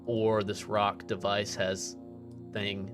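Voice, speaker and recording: A man's voice: fairly low pitch, completely flat delivery, slow pace. A man chatting casually with friends as a player in a tabletop game. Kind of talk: casual chat among friends. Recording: music playing behind the voice. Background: music.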